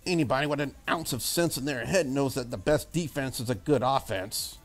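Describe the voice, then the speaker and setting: A man's voice: mid-range voice, a little fast, faint music behind, natural voice. Man voicing a brash, blunt young woman. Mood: annoyed, defiant. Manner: blunt, bossy, breezy. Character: brash, confident young woman, breakfast cook.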